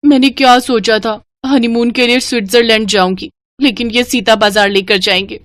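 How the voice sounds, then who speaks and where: A woman's voice: fairly high pitch, fairly even intonation, normal speed, no room sound, lightly acted. Woman acting a plaintive young daughter-in-law. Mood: dismayed. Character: polite, dismayed young new daughter-in-law.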